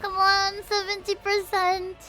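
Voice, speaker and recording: A woman from the Philippines livestreaming a game with casual, excitable, expressive reactions. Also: very high-pitched, a little slow, soft background music.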